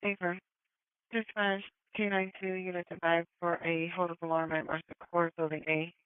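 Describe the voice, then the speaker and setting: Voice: medium pitch, little pitch movement, normal speed, background noise. A dispatcher relaying police calls over a radio channel, through narrow scanner audio. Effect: radio transmission. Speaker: emergency dispatcher.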